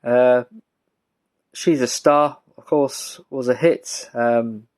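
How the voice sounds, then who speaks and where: A British man giving a casual, rambling show-and-tell as a vlogger, speaking in a halting, low-key way. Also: fairly even intonation, darkish tone. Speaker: vlogger showing a music collection.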